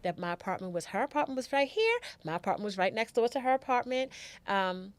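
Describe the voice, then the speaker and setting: A woman's voice: a little high, sing-song, quick pace, dry recording. A middle-aged American woman telling a personal story as a podcast guest, chatting casually, acting out conversations and repeating phrases for emphasis.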